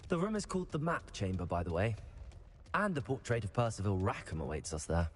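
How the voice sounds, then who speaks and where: Animated young British wizard student. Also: male voice, fairly low pitch, brisk. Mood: confident. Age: young adult. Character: eager, polite teenage male wizard student. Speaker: voice actor in a video game. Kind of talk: scripted video game dialogue.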